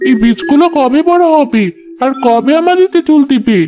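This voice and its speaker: A woman's voice: sits high, lively intonation, normal speed, music in the background, pitched above the performer's own voice. A woman voicing a caring, eager young village daughter in a cartoon folk tale. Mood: eager, amazed. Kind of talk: animated folk tale acting.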